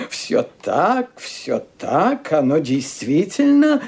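A fussy family lawyer in a radio drama, with a high, husky voice. He speaks slowly and formally, hemming between phrases. His intonation rises and dips as he stays courteous and hesitant. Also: huge pitch swings, a little mellow, full character voice. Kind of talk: radio play acting.

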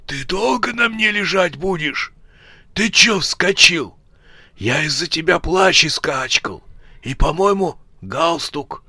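Man putting on a high, sharp, grumpy bandit. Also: lively intonation, slow pace, vocal fry, no echo, heavily acted. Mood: grumbling. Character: grumpy, jittery older male bandit, called "daddy".

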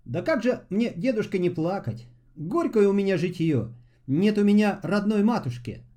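An earnest, polite peasant lad from a storybook, acted by a man. The voice is high and expressive, soft-toned, that of a good-hearted boy worn down by hardship. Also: moderate pace. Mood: sorrowful. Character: good-hearted, downtrodden fifteen-year-old peasant boy. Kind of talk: storybook fairy-tale voice acting.

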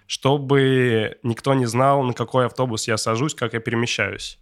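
A man's voice: mid-range voice, steady tone, normal speed, background noise. A man talking casually as a co-host in a podcast discussion.

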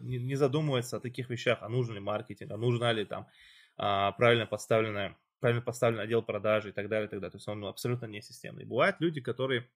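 A man hosting a casual chat, explaining at length with loose phrasing and fillers. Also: medium pitch. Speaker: podcast host.

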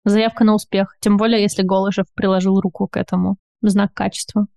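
A woman speaks as a podcast guest in a relaxed, thoughtful way, pausing on fillers and laughing lightly. Her pitch sits in a middle range. The recording is dry and close. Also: vocal fry, no echo. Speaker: podcast guest, bookshop owner. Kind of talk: casual interview chat.

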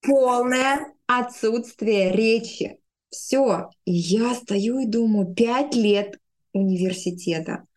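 A woman's voice: a little high, slow pace, darkish tone. A woman in her thirties speaking conversationally as an interview guest, telling personal stories. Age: 30s. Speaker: podcast guest, speech therapist.

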